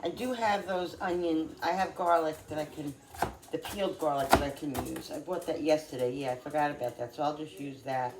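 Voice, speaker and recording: Middle-aged female cooking vlogger, low voice, stuffy with a cold, halting chat over kitchen noise. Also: fairly even intonation. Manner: rambling, halting, many fillers. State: congested with a cold. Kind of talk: casual chat while cooking. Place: home kitchen.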